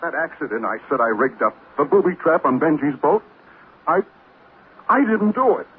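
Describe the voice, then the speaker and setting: A man's voice: a little high, big pitch swings, moderate pace, slightly rough, phone-quality sound, exaggerated voice. An actor playing a weak-willed, nervous man in a murder plot, hesitant and pleading, in 1940s radio style on an old recording. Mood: anxious, confessing.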